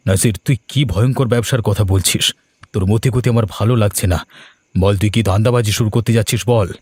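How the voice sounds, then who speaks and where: A man's voice: on the low side, a little fast, darkish tone, breathy edge, no room sound. A man voicing a repentant crook in a cartoon, earnest and admonishing as he speaks. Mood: stern. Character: repentant male crook, an earnest, protective older comrade. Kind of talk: cartoon voice acting.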